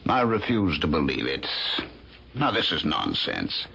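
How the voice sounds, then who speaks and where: A man playing a courteous, skeptical family friend in a vintage radio drama, speaking emphatically and haltingly. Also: rumbling low, huge pitch swings, creaky, bright, forceful tone, husky, heavily acted. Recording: dull, lo-fi old radio recording.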